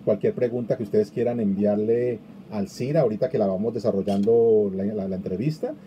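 A man's voice: on the low side, fairly even intonation, brisk, warm tone, lo-fi sound, a little hiss or hum. A middle-aged man hosting a live online interview over a video call, chatting easily and reading out viewer comments. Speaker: interview host. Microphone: video call webcam.

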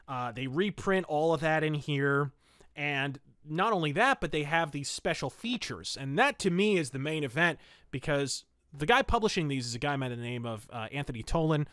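Male podcast host, somewhat higher register, colloquial and keen, very dry recording. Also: a bit bright, no echo. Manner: enthusiastic, opinionated, colloquial. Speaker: podcast host reviewing pulp fiction and old radio. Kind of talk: casual, conversational review commentary.

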